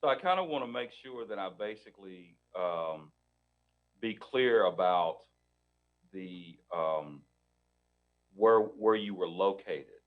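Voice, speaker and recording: A man's voice: deep voice, animated, takes it slowly, long pauses, narrow, tinny audio. A man hosting a legal talk show as an attorney, asking leading questions in a hesitant way full of restarts.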